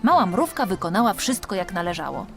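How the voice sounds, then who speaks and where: A woman narrating a scripted children's audiobook story in an expressive storytelling manner. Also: fairly low pitch, quick pace. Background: music.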